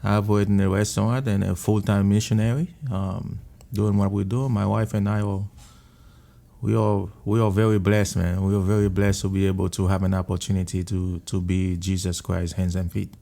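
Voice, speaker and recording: A man from Haiti with a Haitian accent shares his life story in an interview at a restaurant. His voice is low and warm with a gravelly edge. He speaks haltingly and earnestly, circling back and repeating words. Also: level tone. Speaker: restaurant owner and missionary.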